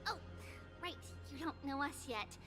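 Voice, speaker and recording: A woman's voice: high-pitched, animated, a little slow. A woman voicing a tiny, perky, bossy childlike companion in a video game, chiding and playful in her delivery. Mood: cheerful, soothing. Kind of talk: scripted video game character acting. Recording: loud game music behind the voice. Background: game music.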